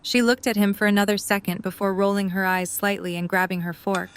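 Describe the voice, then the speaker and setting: A woman's voice: mid-range voice, level tone, fairly quick, clean tone. A woman reading scripted third-person story narration for an audio drama.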